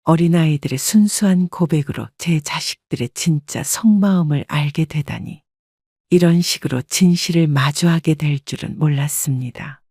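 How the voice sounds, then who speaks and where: A woman narrating a first-person family story in a calm, measured manner. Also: low voice, no echo, clean studio sound.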